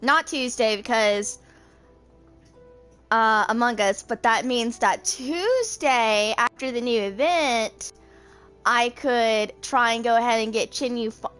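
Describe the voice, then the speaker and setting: Woman, twenties, higher-pitched voice. Casual gaming video creator, rambles and thinks aloud with hesitant delivery. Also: a bit bright. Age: 20s. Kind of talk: casual rambling gameplay commentary.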